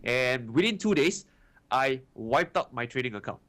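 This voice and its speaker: A man's voice: medium pitch, lively intonation, moderate pace, a bit bright. Young Singaporean man, chatty. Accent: Singaporean English. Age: 20s. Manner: chatty, frequent "you know" and "right" tags. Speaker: trading educator, guest. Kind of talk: casual interview answers.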